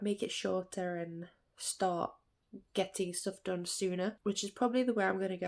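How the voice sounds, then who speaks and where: A woman's voice: mid-range voice, gravelly, a little mellow. A woman, stuffy-nosed from hay fever, chatting casually as she thinks aloud in a vlog. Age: late 20s. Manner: rambling, thinking aloud with fillers and self-corrections. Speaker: artist vlogger. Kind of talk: casual reflective vlog chat.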